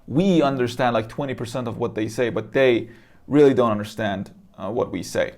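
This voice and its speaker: A man's voice: mid-range voice, moderate pace, a little mellow. A man speaking casually and conversationally as he explains a subject to viewers, often checking in as he goes. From Iran. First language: Persian. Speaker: presenter.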